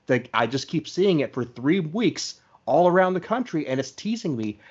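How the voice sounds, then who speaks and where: Man podcast host, slightly dull audio. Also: on the high side. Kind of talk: casual interview chat.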